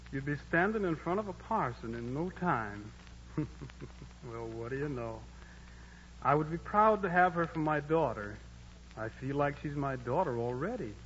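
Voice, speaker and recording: A man's voice: a little high, a bit bright, in a large hall, a little hiss or hum. A man playing Joe, a dutiful young army corporal in a 1940s radio musical drama, speaking plain folksy dialect over music on a dull old broadcast.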